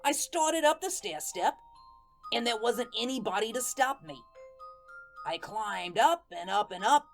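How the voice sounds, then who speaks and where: A woman playing a plainspoken, vengeful ghost who tells his tale in a folksy, colloquial way in an audio drama. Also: medium pitch. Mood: wondering. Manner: folksy, colloquial, deliberate storytelling. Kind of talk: first-person audio drama narration.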